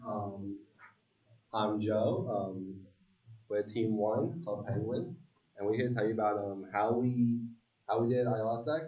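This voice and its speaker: A young man from Long Island giving a relaxed, joking student team presentation with a lot of ums. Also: on the low side, reverberant, far from the mic, low-quality recording. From Long Island, New York. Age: young adult.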